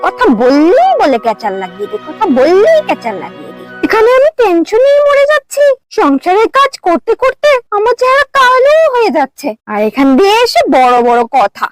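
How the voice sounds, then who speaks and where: A woman's voice: very high voice, wildly swooping pitch, brisk, no echo, faint music behind, full character voice, low-quality recording. A woman playing a vain, lazy young village wife in a cartoon, boastful, complaining and quarrelsome. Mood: scolding, aggrieved. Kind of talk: cartoon voice acting.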